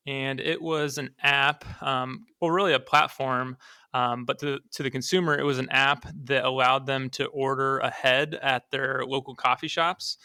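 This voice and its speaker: Bright, clear young man, fairly even delivery. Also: medium pitch, no echo. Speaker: podcast guest, startup founder. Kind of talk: casual conversational interview answers.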